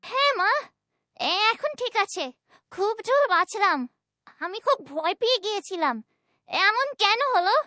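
A woman's voice: very high-pitched, lively intonation, moderate pace, long pauses, bright, forceful tone, no echo, studio quality, exaggerated voice. A woman voicing a frightened young girl in a fairytale cartoon, anxious and pleading. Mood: shaken.

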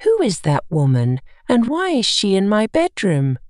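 A woman's voice: medium pitch, wildly swooping pitch, a little slow, darkish tone, no room sound, studio quality. A woman voicing an anxious young wife in a story, earnest, questioning and pleading.

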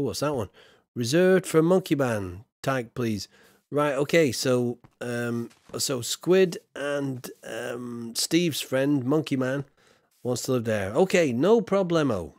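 Man, 40s, streamer, casual chat, tired, slow, animated pitch, dry room. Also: no room sound. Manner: jokey, mimics accents in short bursts, counts under his breath. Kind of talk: casual live-stream chat while building.

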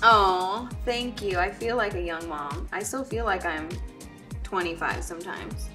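A woman's voice: medium pitch, a little slow, music in the background. A woman in her thirties chatting casually and teasingly while doing her makeup at a studio table. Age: 30s. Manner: giggly, upbeat, teasing. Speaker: makeup brand owner and host. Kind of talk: casual get-ready-with-me chat.

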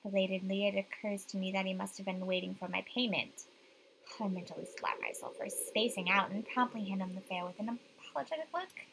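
A woman's voice: medium pitch, fairly quick, vocal fry, fairly bright tone, slight background noise, poor audio. A young woman reading visual novel narration aloud. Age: young adult. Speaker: game streamer reading aloud.

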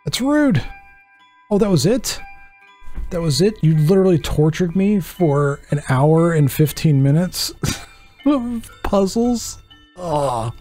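A man in his thirties with a headset, chatting and joking to himself through a horror game, mocking his own mistakes and jumping up in pitch when something startles him. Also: lively intonation, takes it slowly, long pauses, mellow, faint music behind. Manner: chatty, self-mocking, jumpy pitch swings. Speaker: gaming channel host. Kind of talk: horror game let's play commentary. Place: small dark room at a desk. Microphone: headset with desk mic.

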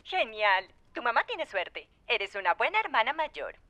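A woman's voice: on the high side, big pitch swings, unhurried, sharp tone, heavily acted. A woman playing a friendly adult caller talking to a child in a children's cartoon dub, sing-song and encouraging.

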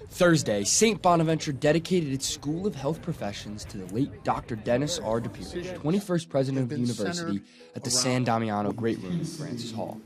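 A man's voice: mid-range voice, brisk, a crowd in the background. A young adult man with an American accent reading scripted narration as a student TV news reporter.

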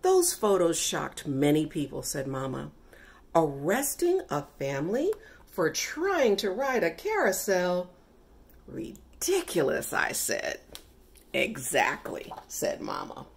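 A middle-aged woman reading a children's picture book aloud with deliberate, emphatic, dramatic phrasing. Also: lowish voice, huge pitch swings, creaky. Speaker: read-aloud storyteller.

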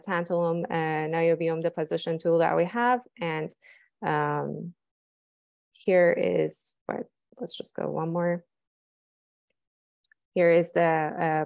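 A young woman scientist walking through a cleanroom fabrication lab, explaining the tools with many fillers and pauses. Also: low-pitched, gravelly, a little mellow, no echo, clean studio sound. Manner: hesitant, many fillers, technical. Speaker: lab scientist giving a tour.

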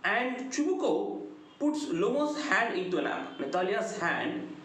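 A middle-aged man with a South Indian accent giving an explanatory lecture in a deliberate, emphatic, sing-song delivery. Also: high-pitched, big pitch swings, a bit bright. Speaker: English lecturer. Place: small indoor room. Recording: very echoey room, faint noise.

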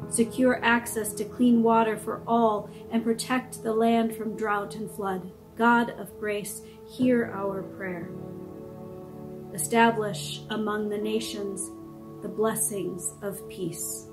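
A middle-aged woman reading liturgical prayers in a slow, measured, reverent way, recorded at home on a webcam. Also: medium pitch. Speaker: prayer leader. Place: home room with brick wall.

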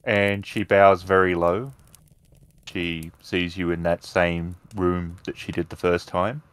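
A man's voice: low-pitched, little pitch movement, slow, a bit bright, clean tone, no room sound. A man improvising narration as the storyteller of a tabletop role-playing game.

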